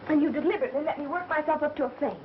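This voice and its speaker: An actress playing a quick-witted, wry woman under suspicion in a 1930s mystery film. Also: high voice, slight background noise, close to an everyday voice. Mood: uneasy, accusing. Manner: wry, sarcastic retorts. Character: quick-witted, sarcastic woman suspected of murder. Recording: muffled 1930s film soundtrack with music.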